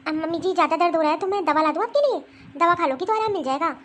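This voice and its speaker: A woman's voice: very high voice, very fast, a little hiss or hum. A woman voicing a meek, dutiful young daughter-in-law who speaks politely and sounds puzzled in a family skit. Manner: polite, soft-spoken, puzzled.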